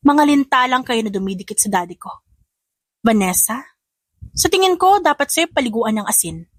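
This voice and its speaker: A woman's voice: fairly high pitch, expressive, moderate pace, dry recording. Woman acting spiteful young heiress, taunting and defiant. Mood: scornful. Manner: taunting, confrontational.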